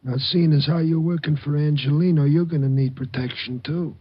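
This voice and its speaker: Husky, dim racket thug. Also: male voice, fairly high pitch, fairly even intonation, a little fast. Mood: taunting, menacing. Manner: blunt, taunting tough-guy talk. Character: menacing, slow-witted male protection-racket thug. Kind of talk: old-time radio crime drama acting. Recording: dull lo-fi vintage radio recording.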